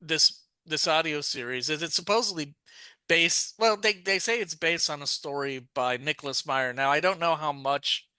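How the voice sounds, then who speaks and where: Bright, crisp-voiced man talking casually. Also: on the high side, normal speed, dry recording. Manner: rambling, many restarts and asides. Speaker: podcast co-host. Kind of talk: casual discussion.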